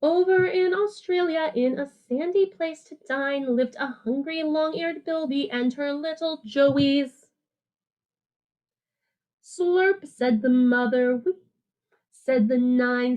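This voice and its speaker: A woman teacher reading a picture book aloud to children in an animated, sing-song way, with simple, casual explanations. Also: high voice, slow, muffled recording.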